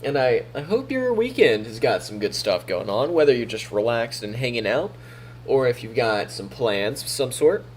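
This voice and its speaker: A man's voice: mid-range voice, moderate pace, slight background noise. A man streaming on a weekend morning, chatting casually with a groggy, froggy morning voice. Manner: relaxed, talking to himself while troubleshooting. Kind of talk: casual live-stream chat.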